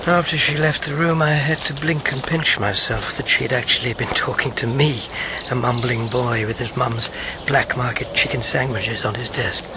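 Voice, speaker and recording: An actor playing a witty older British former wartime codemaker in a radio drama, wry, playful and self-deprecating. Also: male voice, mid-range voice, normal speed, close to an everyday voice. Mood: nostalgic, wry. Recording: clear background noise.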